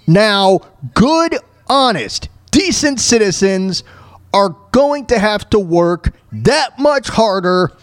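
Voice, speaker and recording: A man hosting a talk show, delivering an opinionated solo rant in a halting, repetitive, emphatic way. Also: high voice. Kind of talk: opinionated solo rant reacting to news clips.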